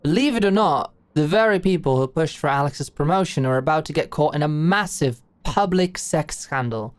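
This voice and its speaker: A woman's voice: sits low, expressive, moderate pace, clear, resonant tone, dry recording. A woman playing a blunt, shrewd older British executive in an audio roleplay, talking in a brash, slangy, commanding way. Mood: frank, confiding. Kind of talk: scripted audio roleplay monologue.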